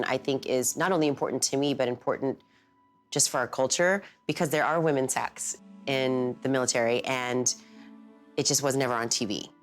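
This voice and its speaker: A woman, the lead actress, speaking earnestly and emphatically in an interview. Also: rumbling low. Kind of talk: featurette interview.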